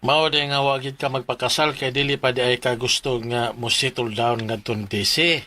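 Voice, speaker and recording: An actor with a Cebuano Filipino accent playing a frank, needling best friend in a radio drama. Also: male voice, mid-range voice, steady tone, normal speed, bright, forceful tone, a little hiss or hum, close to an everyday voice. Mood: probing. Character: blunt, frank, teasing male best friend. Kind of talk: Philippine radio drama acting.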